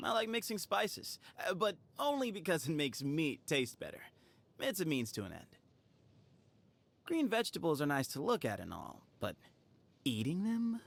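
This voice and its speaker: A gruff, cocky youth from a video game. His voice is creaky and swings widely in pitch, delivered bluntly and dryly. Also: big pitch swings, moderate pace, full character voice. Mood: dismissive.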